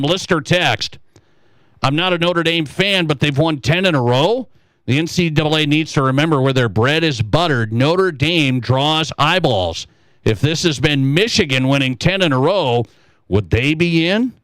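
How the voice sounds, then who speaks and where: A man's voice: a little high, a bit bright, dry recording. A man hosting a sports talk radio show, giving emphatic, opinionated commentary in a conversational way and repeating his points. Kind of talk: conversational sports commentary, reading listener texts.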